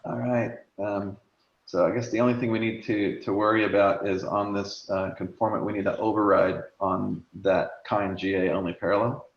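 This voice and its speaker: A man's voice: lowish voice, little pitch movement, moderate pace. A man hosting an online meeting, talking casually with many fillers while sharing his screen and losing his voice. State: losing his voice, clearing throat. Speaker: meeting host. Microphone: video call. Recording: echoey lo-fi video call.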